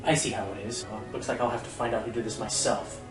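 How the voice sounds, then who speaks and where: A man's voice: lowish voice, fairly even intonation, fast, faint music behind, natural voice. A man acting as an earnest, easily exasperated male pony who hosts a radio show, insistent and theatrical, in a radio play. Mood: determined.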